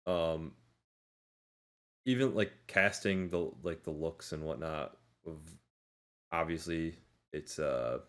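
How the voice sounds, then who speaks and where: A man's voice: extremely low pitch, takes it slowly, long pauses, fairly bright tone. A man co-hosting a podcast, talking informally in a casual discussion and hedging his points as he goes. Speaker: podcast co-host.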